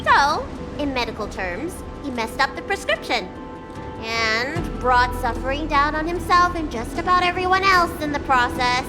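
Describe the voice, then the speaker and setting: A very high, swooping little dragon girl, blunt and curious like a child. She is a carefree young elder from a video game, with music playing behind her. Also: sing-song, moderate pace. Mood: matter-of-fact. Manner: frank, playful, childlike curiosity.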